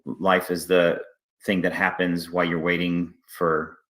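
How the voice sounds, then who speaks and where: A man hosting a casual interview, speaking haltingly and often restarting his sentences. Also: low-pitched, monotone, fairly bright tone. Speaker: interview host, theater artistic director.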